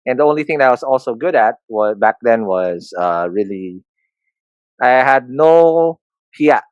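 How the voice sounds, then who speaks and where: Filipino man, 30s, candid self-deprecating guest, mid-pitched, dry studio sound. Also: lively intonation, unhurried, clear, resonant tone, no room sound, dull audio. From Philippines. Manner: self-deprecating, joking, frequent restarts. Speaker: podcast guest, entrepreneur. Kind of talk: candid conversational interview.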